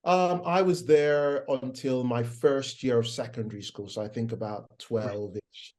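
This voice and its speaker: A man's voice: medium pitch, unhurried, a little mellow. A middle-aged man with a Nigerian accent and a faint American twang, a guest chatting casually and rambling with plenty of fillers and restarts. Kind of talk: casual interview chat.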